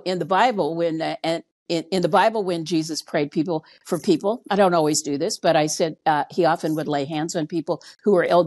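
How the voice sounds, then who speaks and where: Woman pastor, 60s, lowish voice, conversational storyteller on a video call, leans on repeated words for emphasis. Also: darkish tone. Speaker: pastor, interview guest. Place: indoor room on a video call.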